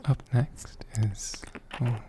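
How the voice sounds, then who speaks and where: A soft ASMR host speaks in a breathy whisper. The delivery is slow and gentle, with deliberate pauses between short phrases. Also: medium pitch. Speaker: host of an ASMR channel answering viewer questions.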